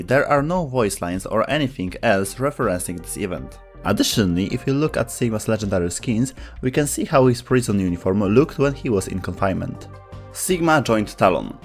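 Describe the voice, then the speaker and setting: A man reading scripted explainer narration as the narrator of a video. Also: on the low side. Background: background music.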